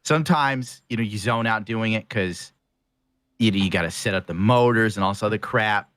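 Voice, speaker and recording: A man's voice: on the low side, moderate pace, fairly bright tone, dry recording, studio quality. Casual, profane man chatting on a podcast. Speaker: guest painter and video maker. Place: small room.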